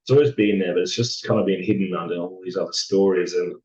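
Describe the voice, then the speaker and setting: A man with a hoarse, raspy voice, talking in a halting, reflective way as a podcast guest, full of fillers and restarts. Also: low-pitched, steady tone, a little mellow, lo-fi sound. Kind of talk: casual reflective chat.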